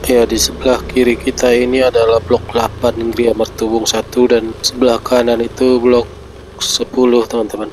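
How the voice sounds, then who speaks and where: A man's voice: mid-range voice, little pitch movement, normal speed, a little mellow, rough recording. A man, chatting to viewers as he vlogs while travelling along flooded city streets. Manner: chatty, keeps saying "friends" to viewers. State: stuffy nose from a cold. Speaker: vlogger. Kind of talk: casual travel vlog narration. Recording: traffic noise outdoors.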